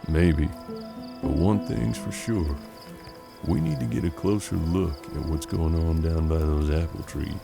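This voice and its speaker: A man playing a seasoned, pragmatic bounty hunter in a western audio drama, with measured, folksy frontier talk. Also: a bass voice, gravelly, music in the background, heavily acted. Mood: intent, businesslike. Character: seasoned male bounty hunter detective of 1870s Kansas, steady, pragmatic.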